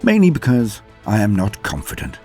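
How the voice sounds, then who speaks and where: A man's voice: fairly low pitch, wildly swooping pitch, normal speed, mellow. A man hosting and narrating lore in a theatrical, florid manner, with scripted delivery and chatty asides. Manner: theatrical, florid wording, self-deprecating. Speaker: lore channel host and narrator. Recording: music under the voice. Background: background music.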